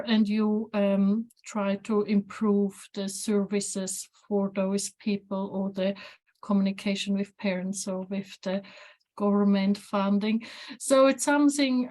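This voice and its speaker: A woman's voice: mid-range voice, darkish tone. A woman in her forties from Switzerland with a Swiss accent, earnestly describing her therapy work on a video call, searching for words. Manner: earnest, searching for words, slightly non-native phrasing. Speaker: guest therapist. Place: home room with shelves, indoors. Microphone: laptop webcam on video call.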